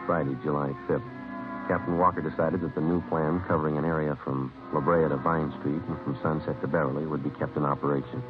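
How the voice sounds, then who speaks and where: An actor playing a deadpan, businesslike police sergeant in a vintage radio crime drama, speaking in terse, clipped sentences. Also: male voice, extremely low pitch, a little fast, music in the background. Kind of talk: 1950s radio crime drama acting and narration. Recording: muffled phone-like old radio recording.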